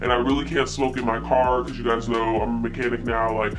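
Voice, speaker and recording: Woman putting on a low laid-back guy. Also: brisk, background noise. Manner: relaxed, drawling, casual slang. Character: laid-back young male friend, a mechanic.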